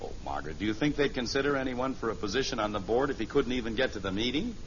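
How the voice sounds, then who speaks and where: A man's voice: medium pitch, lively intonation, racing, a bit bright, natural voice. An actor playing a mild-mannered, put-upon middle-aged American father in a 1950s radio sitcom. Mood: exasperated. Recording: muffled, noisy old radio recording.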